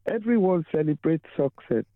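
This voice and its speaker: A man calling into a radio show, speaking earnestly and philosophically with slow, emphatic phrasing. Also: fairly high pitch, animated, dry recording. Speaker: radio caller. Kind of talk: phone-in call. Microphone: telephone. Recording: tinny phone line.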